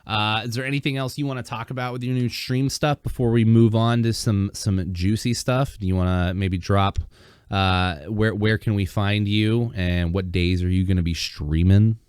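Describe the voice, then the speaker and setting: A man hosting a casual podcast, rambling with fillers and restarts, his throat dry and a bit hoarse. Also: fairly low pitch, fairly even intonation, moderate pace, a little mellow, no echo. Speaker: podcast host.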